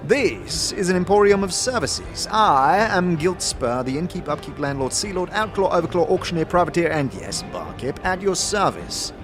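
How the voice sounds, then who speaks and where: A man voicing an excitable innkeeper and showy salesman with rapid-fire, rhyming patter in a fantasy audio game. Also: high voice, voice pushed up. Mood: excited, showy. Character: manic, fast-talking male innkeeper and showy salesman. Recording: music under the voice.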